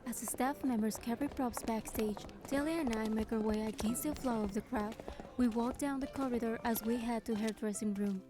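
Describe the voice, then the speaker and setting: An actress playing a gentle young heroine who narrates her romance game story in a measured way. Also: on the high side, music in the background, close to an everyday voice. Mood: warm, thoughtful. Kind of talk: scripted first-person narration.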